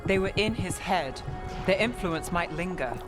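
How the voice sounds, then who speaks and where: A grave fantasy game companion. He reasons in a measured manner, with a slightly raised pitch. Music is clearly audible underneath. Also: animated. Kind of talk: dramatic video game acting.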